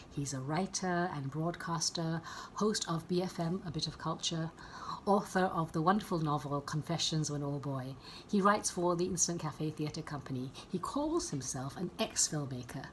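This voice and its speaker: A woman with a very low voice introduces a guest over a video call. She reads a prepared introduction fluently and fondly. A faint hum runs underneath. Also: poor audio. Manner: fluent, fond, measured. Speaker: host of the reading. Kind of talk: prepared introduction read aloud.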